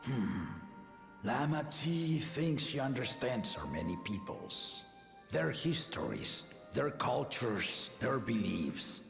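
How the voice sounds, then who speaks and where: Slow, gravelly aging warrior king. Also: mid-range voice, sing-song, echoey room, in a large hall, over music, full character voice. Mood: grave, commanding. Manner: measured, regal, deliberate delivery. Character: aging battle-loving king and father, grave and shrewd. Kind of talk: scripted video game voice acting.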